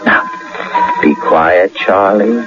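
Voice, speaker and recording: An actor playing an earnest, excitable and stubborn ordinary man in an emphatic, theatrical radio drama style. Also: deep voice, slow, over music, full character voice. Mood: intent, confident. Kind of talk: 1980 American radio drama acting. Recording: dull lo-fi old radio broadcast.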